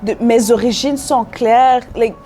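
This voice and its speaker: Young woman, Cameroonian-born artist guest; mellow, reflective, halting delivery; faint music bed. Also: a little high, steady tone. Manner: reflective, frequent fillers and restarts, laughs easily. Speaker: guest artist and singer-songwriter. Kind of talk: casual interview conversation.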